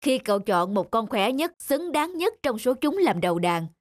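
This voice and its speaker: A woman voicing an older, experienced musher who gives instructive advice in an animated cartoon. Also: fairly high pitch, normal speed, dry recording, studio quality. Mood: knowing, instructive. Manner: instructive, explanatory. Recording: faint music under the dialogue.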